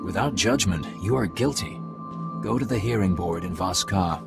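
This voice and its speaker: An actor playing a solemn male alien mystic in a video game, speaking in a grave, formal, ceremonial way with a gravelly voice. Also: deep voice, moderate pace, music in the background.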